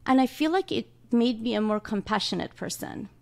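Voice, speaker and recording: Gravelly-toned Iranian-accented woman. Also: fairly high pitch, expressive, fairly quick. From Iran. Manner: earnest, warm, laughs often. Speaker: guest doctor. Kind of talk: casual interview talk. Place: small home studio room. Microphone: large studio mic with headphones.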